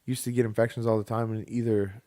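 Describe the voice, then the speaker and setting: A man's voice: on the low side, flat delivery, quick pace, mellow, no echo, clean studio sound. A man co-hosting a casual podcast from home, blunt and teasing as he reads out poll results. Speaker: podcast co-host. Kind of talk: casual chat.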